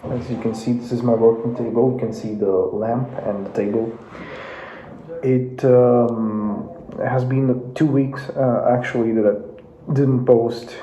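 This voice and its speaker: A man whose native tongue is Russian speaks in an unhurried, halting way, full of "uh"s and restarts, with a mellow, darker tone. He records in an office room without a real microphone, so the sound is roomy and a bit dull. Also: medium pitch, a little hiss or hum. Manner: hesitant, many fillers and restarts. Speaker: YouTube commentator. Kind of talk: casual talk to camera. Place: home office. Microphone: no dedicated microphone.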